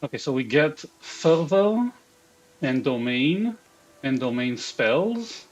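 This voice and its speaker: A man with an Israeli accent hosts a live game stream, answering chat and muttering clipped fragments to himself as he works. His voice sits at a middle pitch. The sound is dull and lo-fi. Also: big pitch swings, slow pace. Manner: mutters half-sentences to himself while building a character. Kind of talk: live gaming stream, reading chat aloud.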